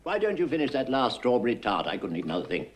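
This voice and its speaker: A hearty gentleman. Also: medium pitch, wildly swooping pitch, fast, a little hiss or hum. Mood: genial. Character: genial male gentleman at tea.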